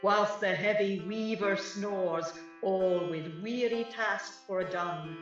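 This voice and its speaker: A woman acting a mischievous night sprite, chanting eerie verse in a drawn-out incantation for a radio play. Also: medium pitch, lightly acted. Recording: very echoey, distant, dull, over plucked music.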